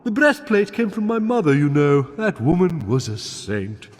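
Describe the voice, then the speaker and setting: An actor playing a pompous, snobbish aesthete dragon in a video game, with a haughty, theatrical, affected drawl. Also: male voice, fairly high pitch, very animated, normal speed. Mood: preening. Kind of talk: video game cutscene acting. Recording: long cavernous reverb.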